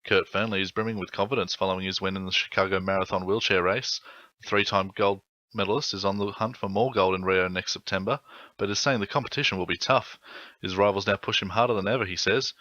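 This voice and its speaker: Aussie guy doing radio sports news, quick and punchy, dry studio sound. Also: deep voice, fairly even intonation, sharp tone, no echo, muffled recording. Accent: Australian. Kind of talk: scripted radio sports bulletin.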